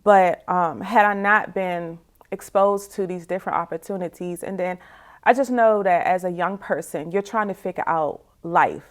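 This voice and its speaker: A woman's voice: lowish voice, normal speed. A woman in her forties giving a reflective, candid personal testimony as an interview guest, in a conversational way, often saying "you know". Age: 40s.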